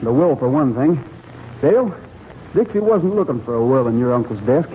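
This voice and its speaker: An actor playing a confident, decisive young cowboy hero with a Western American accent in an old-time radio drama. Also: male voice, mid-range voice, expressive, normal speed, lightly acted. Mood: firm. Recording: tinny, hissy old radio recording.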